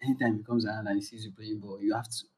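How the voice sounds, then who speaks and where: A young man, chatting casually and telling stories in a relaxed way, laughing along. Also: fairly low pitch, rapid-fire, distant mic, slight background noise. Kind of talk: casual chat.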